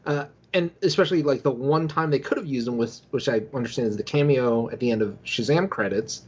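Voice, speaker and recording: A man with a husky, mid-pitched voice co-hosts a casual podcast. He talks through news conversationally. The recording is a little dull. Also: brisk. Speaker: podcast co-host. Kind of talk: casual news commentary and chat.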